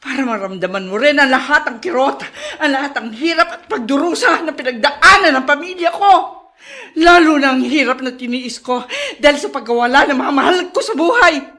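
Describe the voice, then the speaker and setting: A woman's voice: sits high, fairly quick, pushed and bright. An actress playing an emotional, anguished mother in a Filipino radio drama. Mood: bitter, vengeful. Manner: dramatic, anguished, breaking into sobs. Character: guilt-ridden ailing mother, emotional and remorseful.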